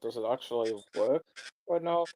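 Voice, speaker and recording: A man's voice: mid-range voice, normal speed, mellow, slight background noise. A man hosting a casual livestream, joking and rambling with plenty of fillers. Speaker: livestream host.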